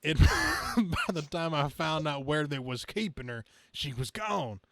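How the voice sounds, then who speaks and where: A man voicing an earnest, folksy trucker superhero, halting over the slang. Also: mid-range voice, fairly bright tone, no room sound.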